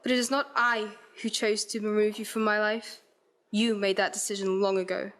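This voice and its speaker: A woman's voice: medium pitch, level tone, normal speed, fairly bright tone, close to an everyday voice. A woman playing a heartbroken but resolute young fiancée in a radio play, speaking earnest, formal period lines. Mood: sorrowful, firm. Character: Belle, heartbroken, resolute young fiancée releasing her betrothed.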